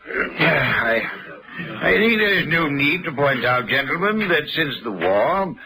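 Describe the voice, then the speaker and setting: Stuffy British City chairman, lively intonation. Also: fairly high pitch, takes it slowly, full character voice, rough recording. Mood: pompous, formal. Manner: formal, droning boardroom delivery. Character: stuffy, well-to-do City company chairman, a man. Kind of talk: vintage radio drama acting. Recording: vintage radio drama, bar chatter behind.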